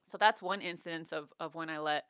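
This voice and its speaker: Bright, crisp woman comedian chatting. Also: low voice, a little fast, no room sound. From United States. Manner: candid, swears freely, animated storytelling. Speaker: comedian and podcast co-host. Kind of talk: casual chat. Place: comedy club, live audience.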